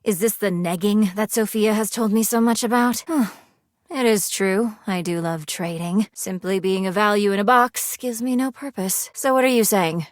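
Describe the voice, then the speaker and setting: A prim and earnest young woman from a dating game, played by an actress. Her pitch sits mid-range for a woman, and she words everything formally and precisely, like someone careful with every coin. The sound is close and dry. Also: normal speed, no room sound, close to an everyday voice. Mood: thoughtful. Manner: formal, precise wording with few contractions. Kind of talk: video game character dialogue.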